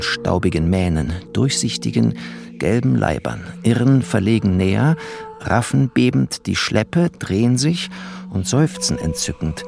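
An actor playing a bitter, bookish male refugee who narrates a postwar German radio play in expressive, poetic, sardonic tones. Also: deep voice, creaky.

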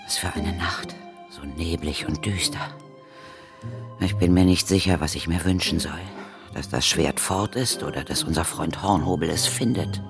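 A man playing a cautious, learned ghost-hunting mentor in a children's radio play, formal and deliberate. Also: sits low, over music. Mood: wary.

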